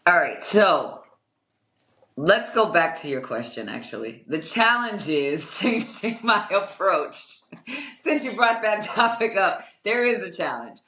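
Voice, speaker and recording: A young adult woman with a strong voice, answering interview questions in a measured, reflective way with many fillers. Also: sing-song, sharp tone. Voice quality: strong, low-ish voice. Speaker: guest, construction company owner. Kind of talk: interview conversation. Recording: echoey room.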